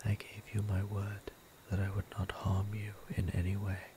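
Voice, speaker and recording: A man playing a gentle, courteous vampire priest in an ASMR roleplay, speaking softly and breathily in a formal, soothing way. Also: on the low side, monotone, noisy background, lightly acted. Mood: earnest, hesitant. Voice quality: soft, breathy near-whisper. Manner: slow, deliberate, formal, soothing. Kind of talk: intimate ASMR roleplay acting.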